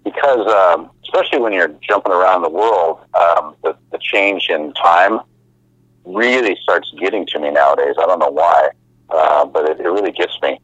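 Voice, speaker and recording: A very deep-voiced man in his fifties, talking as an interview guest over a muffled phone line. He speaks in a relaxed, halting way, full of fillers. The sound is dry and dull. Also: no room sound. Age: 50s. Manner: relaxed, halting with many fillers, light laughs. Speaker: musician guest.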